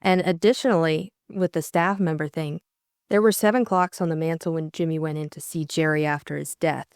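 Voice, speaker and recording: A woman's voice: low-pitched, fairly even intonation, brisk, no echo, clean studio sound. A young woman co-hosting a casual book discussion, explaining her thoughts carefully. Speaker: audiobook co-host and narrator.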